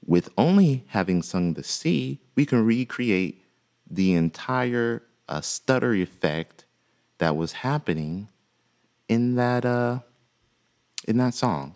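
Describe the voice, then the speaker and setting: A man with a deep voice teaching music production in a relaxed, folksy way, with many "uh" fillers. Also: slow pace. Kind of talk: casual software tutorial.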